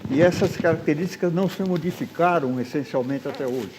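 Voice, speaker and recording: A man's voice: on the high side, fairly quick, noisy background. A man with a Brazilian Portuguese accent, answering as a panel guest in a thoughtful, hesitant way. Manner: thoughtful, hesitant, uses fillers. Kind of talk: debate answer.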